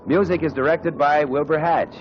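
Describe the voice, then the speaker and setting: A middle-aged man announcing a 1940s radio show and reading a commercial in a smooth, persuasive salesman style. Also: fairly high pitch, steady tone, normal speed. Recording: muffled 1940s radio broadcast with music.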